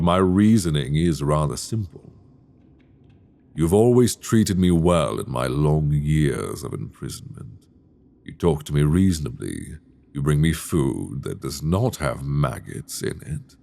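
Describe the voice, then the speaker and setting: Guy acting as a crazy chained dragon king, asmr roleplay, slow drawn-out talk that swoops around, seductive n possessive, close mic. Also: rumbling low, gravelly, exaggerated voice. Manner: theatrical, teasing, drawn-out delivery with soft asides. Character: mad imprisoned male dragon king, seductive, obsessive, menacing. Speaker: audio roleplay voice actor. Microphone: close binaural microphone.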